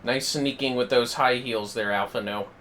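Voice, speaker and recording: A man's voice: mid-range voice, steady tone, normal speed, a bit bright, a little hiss or hum. A man in his thirties chatting casually and jokingly as he livestreams a video game, with theatrical bits. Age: 30s. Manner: jokey, theatrical, slips into bits.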